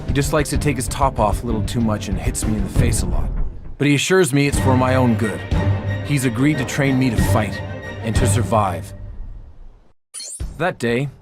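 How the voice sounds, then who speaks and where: Man acting an earnest deadpan hero over music. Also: medium pitch, close to an everyday voice. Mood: deadpan, chatty. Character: earnest young male vigilante, deadpan and silly. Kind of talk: mock-dramatic narration in game cutscenes. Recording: music behind the voice.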